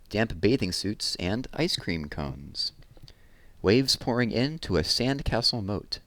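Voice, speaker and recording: A man's voice: on the low side, fairly bright tone, dry recording. A man hosting a read-aloud, reading children's poems expressively and explaining things simply to young listeners.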